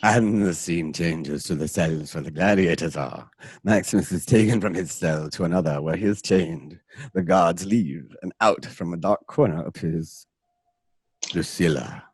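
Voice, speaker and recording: A man with a husky voice narrating a radio drama from a script. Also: deep voice, a little slow, a little mellow, no room sound.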